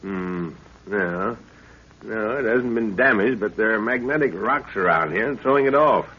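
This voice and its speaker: A man's voice: fairly low pitch, moderate pace, bright, forceful tone, husky. An actor playing an eager, authoritative middle-aged scientist explorer and father in a 1930s radio adventure. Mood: explaining. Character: Lorna's father, excitable older scientist explorer. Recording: scratchy muffled 1930s radio recording.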